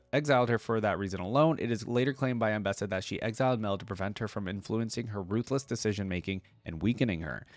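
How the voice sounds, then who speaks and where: A man's voice: medium pitch, no room sound. A man reading text aloud as a reaction video host, dropping in casual asides between passages.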